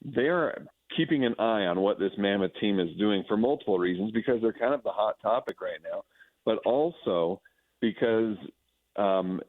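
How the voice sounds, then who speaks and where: A man's voice: fairly low pitch, lively intonation, normal speed, no room sound. An American man, a sports anchor as a radio guest, giving effusive conversational analysis over a phone-like line, often rephrasing as he goes.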